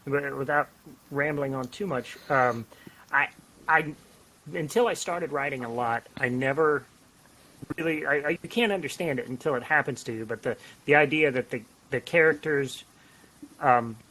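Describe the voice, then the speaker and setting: A man talking casually as a writer on a podcast, rambling and self-deprecating in an animated way. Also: medium pitch, normal speed, low-quality recording. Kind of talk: casual podcast chat about writing. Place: home office, on a remote call.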